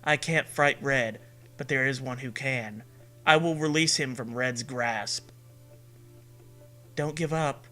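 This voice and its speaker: An earnest young woman ghost read by a man in a comic story reading. The delivery is melodramatic and subdued, with a bright edge and music underneath. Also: medium pitch, slow, pauses often, voice pushed down. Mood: grave, tender.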